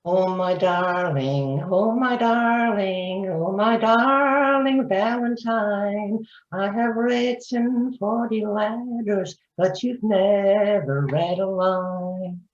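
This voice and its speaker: A woman's voice: mid-range voice, drawn-out words. A woman performer reciting rhyming verse in readers theatre. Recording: echoey room, dull lo-fi sound.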